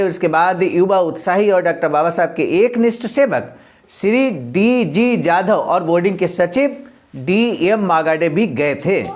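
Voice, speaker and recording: A presenter reads a script formally, pausing to explain it and address listeners. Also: medium pitch. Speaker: presenter of a daily speech-reading programme. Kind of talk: scripted reading with spoken commentary.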